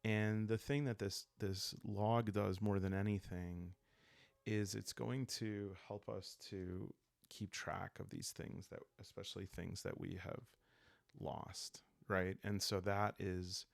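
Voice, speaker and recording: A man talking calmly and softly as host of a relaxing solo roleplaying playthrough, thinking aloud with hesitant pauses. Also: low-pitched, creaky, no echo. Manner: calm, soft-spoken, hesitant pauses while thinking aloud. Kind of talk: calm, rambling sleep-aid game walkthrough.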